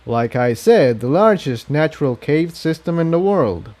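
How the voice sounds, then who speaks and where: A man's voice: on the high side, lively intonation, unhurried, darkish tone, voice pushed up. A man voicing a determined, protective male pony hero who speaks with earnest emphasis in a story reading. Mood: confident.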